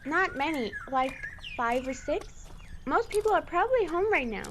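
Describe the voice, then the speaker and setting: A woman's voice: sits high, very animated, moderate pace, slight background noise. A woman playing an eager, loyal young monster boy in an audio drama, talking in earnest slang.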